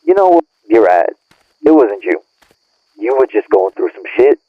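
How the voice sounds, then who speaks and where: Guy playing pissed off young dude on a crappy phone line, lowish voice, swearing and blaming, audio rp. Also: sing-song, moderate pace, no echo. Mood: angry, blaming. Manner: profane, slangy, berating. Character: bitter, irritated young male friend, scolding and blaming. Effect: phone or radio filter. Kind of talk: audio roleplay acting, naturalistic argument. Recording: narrow tinny phone-like band.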